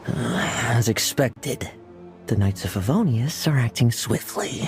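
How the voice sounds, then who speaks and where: A grim plotter in a fantasy game. His mid-pitched voice is expressive in its movement, terse and calculating, with clear music underneath. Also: takes it slowly. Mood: watchful. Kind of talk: video game voice acting.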